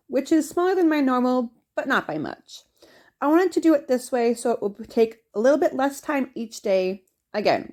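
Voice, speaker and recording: A young woman narrating a journaling video in a chatty, upbeat voice-over, slightly hoarse from a cold. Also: a little high, darkish tone. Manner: chatty, upbeat, talks straight to viewers. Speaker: bullet journal vlogger.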